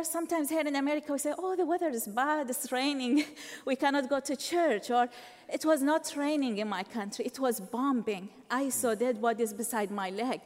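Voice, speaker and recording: A woman in her forties from Iraq with an Iraqi Arabic accent, giving an earnest, measured faith testimony in a church. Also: sits high, in a large hall. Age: 40s. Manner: earnest, measured, non-native phrasing. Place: church sanctuary.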